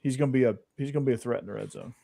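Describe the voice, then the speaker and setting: A man's voice: medium pitch, very fast, vocal fry, mellow. A man from the American South co-hosting a casual sports podcast, easygoing and joking. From Southern United States. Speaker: podcast co-host.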